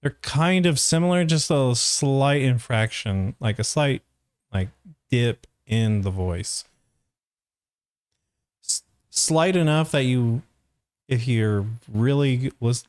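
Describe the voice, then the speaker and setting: A man's voice: mid-range voice, takes it slowly, darkish tone, dry recording, clean studio sound. A man giving casual, hesitant stream commentary, thinking aloud as he reviews a dub. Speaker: voice actor reviewing a fandub.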